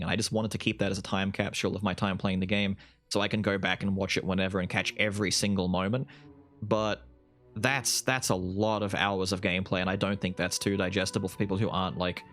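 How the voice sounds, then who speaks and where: A man's voice: fairly low pitch, fairly bright tone. A young Australian man answering viewer questions in a casual, rambling, self-deprecating way. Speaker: YouTube gaming creator answering viewer questions. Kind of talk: casual Q&A chat. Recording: voice over faint background music.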